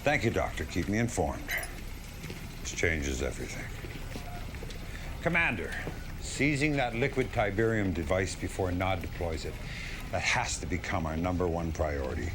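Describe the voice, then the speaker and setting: A man's voice: low-pitched, noisy background. An actor playing a grave, seasoned general in a game cutscene, briefing his commander with direct authority.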